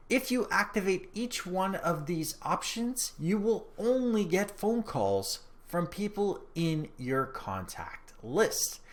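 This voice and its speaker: Man presenting with lively, swinging pitch. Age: 30s. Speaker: host of a tech tips show. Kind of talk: scripted tutorial presentation to camera. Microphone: microphone on a boom arm, close in front.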